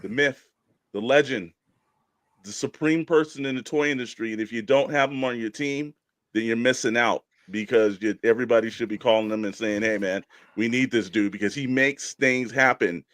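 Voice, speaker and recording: A man's voice: medium pitch, normal speed, fairly bright tone, dry recording. A man co-hosting a casual live show, hyping up guests in a folksy, effusive way and repeating phrases for emphasis. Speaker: podcast co-host.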